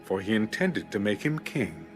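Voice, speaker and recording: A man reading scripture as a scripted narration, in a measured and solemn manner. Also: fairly low pitch, big pitch swings. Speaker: Bible audiobook narrator. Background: background music.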